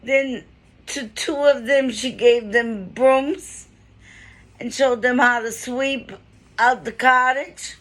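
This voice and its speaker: A woman reading a children's storybook aloud in short phrases with pauses, now and then adding casual asides. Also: fairly high pitch, steady tone, fairly bright tone, low-quality recording. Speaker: storybook reader.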